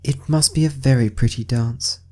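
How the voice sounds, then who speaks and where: A man's voice: on the high side, animated, normal speed, pitched above the performer's own voice. A man voicing Alice, a polite and timid young girl, in a storybook reading.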